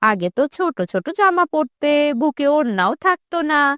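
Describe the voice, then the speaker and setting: Lady doing a nosy scornful cartoon auntie, accusing and taunting, lively up and down, dry sound. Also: high-pitched, moderate pace, no room sound, full character voice. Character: scornful, suspicious middle-aged neighbourhood auntie.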